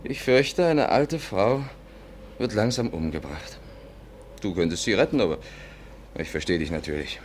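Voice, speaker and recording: An actor playing a cheeky, persuasive private detective in a 1950s German radio play, breezy and cajoling. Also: male voice, fairly low pitch, expressive, moderate pace, long pauses, a little hiss or hum, natural voice. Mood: earnest, pleading. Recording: 1950s radio recording, music behind.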